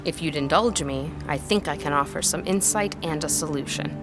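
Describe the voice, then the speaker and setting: Actress as a low-voiced, earnest scholar. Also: female voice, normal speed, over loud music, natural voice. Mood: measured, regretful. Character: earnest, guarded female scholar testifying at an inquiry. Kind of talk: formal audio drama testimony.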